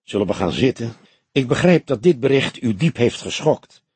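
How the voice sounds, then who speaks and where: A man voicing a husky, solemn old detective. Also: mid-range voice, expressive, darkish tone, dry recording. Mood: sympathetic. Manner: formal, measured, cautious. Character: weary old male police detective, solemn and careful.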